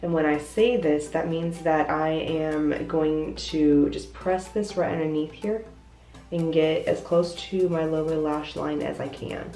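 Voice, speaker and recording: A woman's voice: low-pitched, steady tone, measured pace, warm tone. A young woman with a congested, stuffy voice, chatting casually through a makeup tutorial and explaining each step in a self-deprecating way. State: congested, voice lower than usual. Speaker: beauty vlogger. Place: hotel bathroom. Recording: echoey bathroom from off-mic, faint music.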